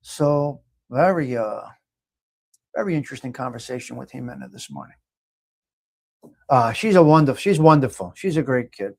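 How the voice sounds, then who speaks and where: A man's voice: mid-range voice, very animated, normal speed, creaky, long pauses, darkish tone. A man with a New York accent hosting a casual live chat show, talking emphatically, often repeating himself and restarting. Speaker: livestream host. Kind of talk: casual live chat, reading viewer comments aloud.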